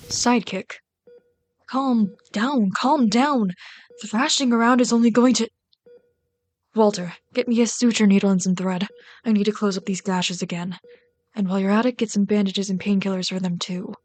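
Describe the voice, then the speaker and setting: A woman's voice: mid-range voice, close to an everyday voice. A woman playing a bitter but caring villain queen in an ASMR roleplay, scolding and sardonic, often exasperated. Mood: stern, urgent. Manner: scolding, sardonic, exasperated, dropping to hushed asides. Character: bitter, caring female villain rat queen tending a captive sidekick. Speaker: voice actor in an audio roleplay.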